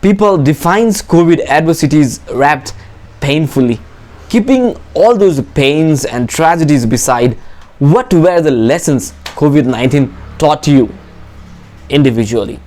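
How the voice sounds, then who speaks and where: A man's voice: on the high side, animated, a little slow, darkish tone. A man hosting a TV talk show, formally introducing guests and reading prepared questions.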